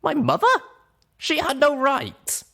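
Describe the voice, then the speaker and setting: A man's voice: very high-pitched, takes it slowly, creaky, fairly bright tone, pitched above the performer's own voice, exaggerated voice. A man voicing a stubborn, angry young female pony in a dramatized audiobook, with a harsh, emphatic delivery. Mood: defensive, indignant.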